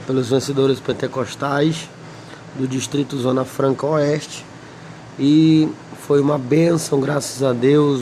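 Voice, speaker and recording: A man with a Brazilian Portuguese accent, slightly hoarse, teaching a Bible lesson and reading scripture slowly with many pauses. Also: mid-range voice, warm tone, slight background noise. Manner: slow, deliberate, pausing often, asks "amém?".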